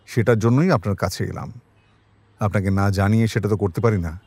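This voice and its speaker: A man playing a composed police detective in an audio drama, questioning politely but pointedly in a measured manner. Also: fairly low pitch, darkish tone, husky, no room sound. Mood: calm, firm.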